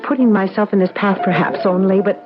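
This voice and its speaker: A woman acting as a distraught, desperate wife in a seventies radio play. Her delivery is urgent and halting, with repeated words. The sound is a dull old broadcast with music behind her. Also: medium pitch, fairly even intonation, natural voice. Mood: anxious, overwrought. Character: anxious, distraught wife desperately searching for her missing husband. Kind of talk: 1970s radio drama acting.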